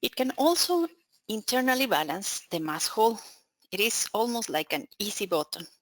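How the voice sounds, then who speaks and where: A woman with a Spanish accent reads a prepared webinar introduction in a careful, steady voice. The sound is dry and close, with no room around it. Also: medium pitch, normal speed, dry recording, low-quality recording. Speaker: account manager presenting a webinar. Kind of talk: scripted webinar presentation.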